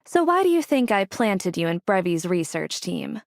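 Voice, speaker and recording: A woman's voice: medium pitch, big pitch swings, normal speed, creaky, no echo, studio quality. A woman playing a cold, scheming mastermind in an anime game, with a condescending, cutting and controlled manner. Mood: cold, commanding. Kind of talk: scripted anime game dialogue.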